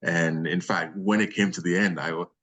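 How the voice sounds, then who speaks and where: A man with a very low, bass voice talks in a casual interview. He is a voice actor and a guest, speaking in an effusive, appreciative way. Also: steady tone, normal speed, fairly bright tone. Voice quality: deep bass voice.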